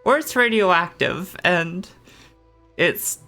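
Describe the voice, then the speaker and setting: Slow-paced woman casually commenting on a game. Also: fairly low pitch, animated, pushed and bright, soft background music. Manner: musing, thinking aloud. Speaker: gaming streamer.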